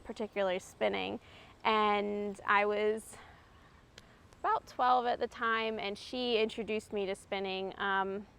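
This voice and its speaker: A woman in her thirties from Maryland, a fiber artist explaining her craft in a relaxed, chatty way with frequent ums. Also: medium pitch, gravelly, slight background noise. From Maryland, USA. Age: 30s.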